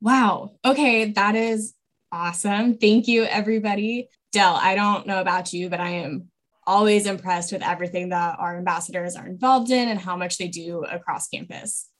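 Woman podcast host, upbeat casual delivery, mid pitch. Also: moderate pace. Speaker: podcast host, admissions advisor.

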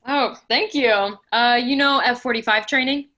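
Casting: woman to play chirpy, slightly awkward female bar-goer for a play reading; crisp bright tone, chatty manner. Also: on the high side, moderate pace. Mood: friendly, upbeat.

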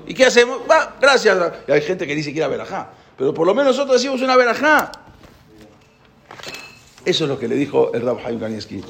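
A man's voice: fairly high pitch, vocal fry, a little hiss or hum. A man giving a spoken Torah class, asking his listeners questions and telling a parable. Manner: animated storyteller, asks the listeners questions.

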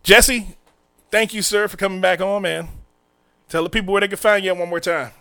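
A young man hosting a casual comedy podcast, joking loudly and laughing often. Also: high-pitched, fairly even intonation, clear, resonant tone. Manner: loud, laughing, fast joking delivery. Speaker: podcast host.

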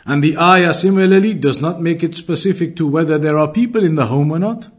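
A man teaching an Islamic lesson, explaining in a deliberate way and repeating his points. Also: fairly high pitch. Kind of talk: religious lesson, reading Arabic then explaining.

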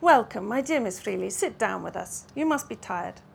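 A woman voicing a gentle, courteous older Russian gentleman, storybook dialogue, slight fry. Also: medium pitch, animated, normal speed, a little hiss or hum. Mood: kindly.

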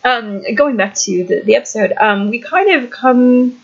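A woman's voice: fairly high pitch, measured pace, vocal fry, highs cut off. A woman with a Midwestern US accent and slight Canadian touches, co-hosting a podcast in casual chat, hesitant and laughing often. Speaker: podcast co-host.

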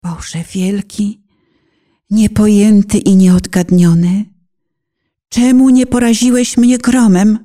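A middle-aged woman giving a solemn, dramatic recitation of a poetic prayer in a penitent, emotive and measured manner. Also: mid-range voice, fairly even intonation, mellow. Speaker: narrator reciting own poetic testimony.

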